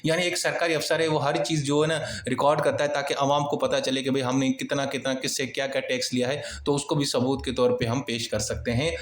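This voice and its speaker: A man's voice: medium pitch, little pitch movement, fairly quick, few pauses. A man with a Pakistani accent giving an informal exam-prep lecture, explaining point by point in a conversational way with everyday examples. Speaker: law lecturer. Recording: echoey, reverberant room sound.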